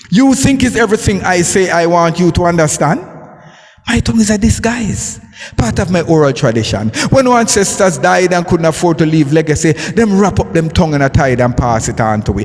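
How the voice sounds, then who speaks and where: A man's voice: sits high, darkish tone. A man with a Jamaican accent performing his poetry at a live event, chanting in rhythm and sometimes breaking into song. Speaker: poet and recording artist. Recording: reverberant live sound with music behind.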